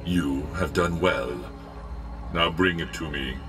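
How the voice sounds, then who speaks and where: A sinister sorcerer with an extremely low voice. He schemes coldly and gives orders in measured, formal phrases, like a game villain, with music loud behind him. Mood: cold, scheming. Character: sinister male sorcerer, commanding, coldly scheming servant of a dark lord. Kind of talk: dramatic video game acting.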